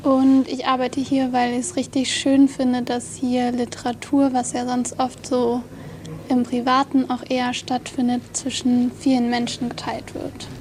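A woman in her twenties, a festival intern, answering radio interview questions in a soft, reflective way. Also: a little high, completely flat delivery, a little slow, slight background noise. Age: 20s.

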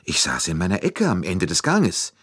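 A man's voice: sits low, huge pitch swings, normal speed, a bit bright, husky, no room sound, studio quality, exaggerated voice. A man voicing a nervous, deferential middle-aged French train conductor in an audiobook. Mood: nervous, defensive. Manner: anxious, deferential, eager to justify himself. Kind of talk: audiobook character dialogue.

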